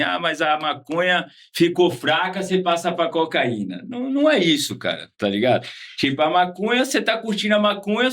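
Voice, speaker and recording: A man from Salvador with a Bahian accent, talking casually as an interview guest, relaxed and slangy, often ending with tag questions. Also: sits high. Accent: Bahian Portuguese. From Salvador, Bahia, Brazil. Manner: laid-back slangy talk with frequent tag questions. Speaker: guest, former patient.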